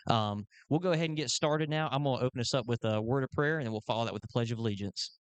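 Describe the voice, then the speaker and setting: A man's voice: mid-range voice, clear, resonant tone, no room sound. Friendly man hosting a meeting. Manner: friendly, halting, with frequent fillers and restarts. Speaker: club chairman and event host. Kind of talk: opening a community meeting with prayer. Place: community meeting hall.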